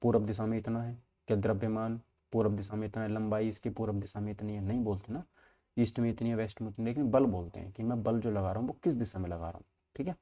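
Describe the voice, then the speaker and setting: A man's voice: lowish voice, steady tone, fast, warm tone. A man teaching an exam-preparation class informally, explaining step by step with frequent check-ins and repeated points. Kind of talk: informal lesson walking through multiple-choice questions.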